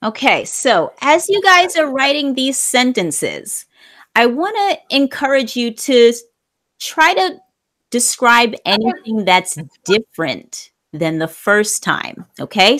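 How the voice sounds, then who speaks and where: A woman's voice: a little high, big pitch swings, a little slow, a bit bright. A woman teaching an online English class, explaining grammar patiently and repeating phrases for learners.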